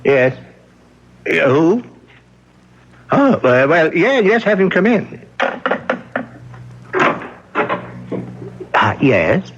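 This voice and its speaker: A man's voice: fairly high pitch, big pitch swings, takes it slowly, slight background noise, full character voice. An actor playing a flustered, fussy male company executive in a radio drama, stammering, repeating himself and chuckling nervously. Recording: dull vintage radio recording.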